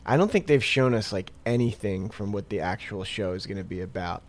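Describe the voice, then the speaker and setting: Guy with a gravelly voice on a podcast, rambly, lots of ums and likes. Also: on the low side, a little fast. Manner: rambling, hesitant with fillers. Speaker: guest. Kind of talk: casual podcast chat.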